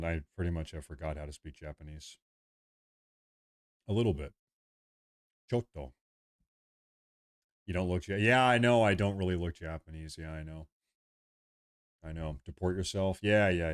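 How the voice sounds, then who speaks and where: Low-voiced man chatting on a livestream. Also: moderate pace, long pauses, clean tone. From United States. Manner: rambling, repeats himself, reads chat aloud. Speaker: livestream host. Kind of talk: casual livestream chat.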